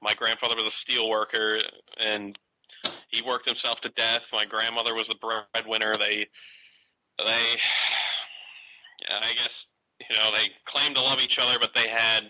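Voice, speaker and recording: Male caller, 30s, hesitant, lowish forceful voice, dry sound. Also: flat delivery, moderate pace, no echo, rough recording. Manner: hesitant, many fillers and restarts. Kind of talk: casual phone-in conversation.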